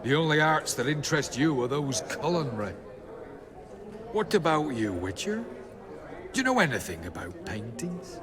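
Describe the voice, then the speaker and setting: High-pitched, condescending art snob. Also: male voice, very animated, moderate pace, long pauses, a crowd in the background. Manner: pompous, florid, condescending. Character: snobbish, mocking art connoisseur, haughty gentleman. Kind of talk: video game cutscene acting.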